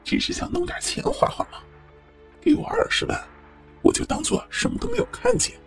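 A man's voice: very high voice, big pitch swings, brisk, far from the mic, faint music behind, exaggerated voice. A man playing a sly, mocking blackmailer who disguises his voice with a strained, squeezed delivery in an audio drama. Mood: greedy, smug.

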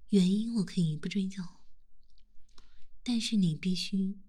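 A stern yet doting male teacher and husband, as a woman voices him, whispering close to the ear in an ASMR roleplay. The voice is breathy and husky, sitting at a middling pitch and kept soft and intimate throughout. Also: measured pace, lightly acted.

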